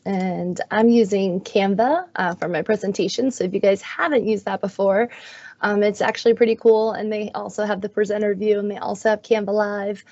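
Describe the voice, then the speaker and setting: A middle-aged woman with a raspy, hoarse voice from being sick, presenting in a friendly, self-deprecating way on a video call. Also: medium pitch, fairly even intonation, fairly quick, darkish tone, no echo. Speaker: guest presenter, magnet schools coordinator. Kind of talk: webinar presentation. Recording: dull, dry video-call audio.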